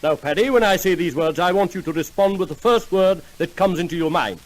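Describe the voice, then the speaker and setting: An actor playing a pompous, self-assured psychoanalyst doctor in an old-time radio comedy sketch. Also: male voice, sits high, natural voice, low-quality recording. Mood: patient, pompous. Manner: pompous, formal, deliberate questioning. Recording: old radio recording, faint noise.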